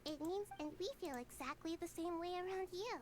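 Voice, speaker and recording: A woman voicing a gentle, composed young girl sage in a video game, speaking formally and earnestly. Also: very high-pitched, a little fast, a little hiss or hum. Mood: warm, earnest. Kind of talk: scripted game dialogue.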